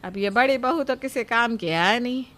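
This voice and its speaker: Woman acting a high-voiced, grumbling elderly mother-in-law. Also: moderate pace, a bit bright, close to an everyday voice. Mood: pleading. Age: older. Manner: complaining, dramatic. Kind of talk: acted cartoon story dialogue.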